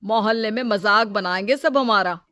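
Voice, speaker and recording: A woman playing a selfish, smooth-talking adult son with a casual, self-serving manner in a Hindi moral story. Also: mid-range voice, normal speed, bright, forceful tone, no echo, clean studio sound, lightly acted. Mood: dismissive.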